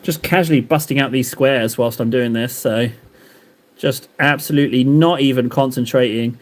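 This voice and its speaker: A man's voice: mid-range voice, normal speed. A man live-streaming while he paints, rambling and joking as he banters with his chat.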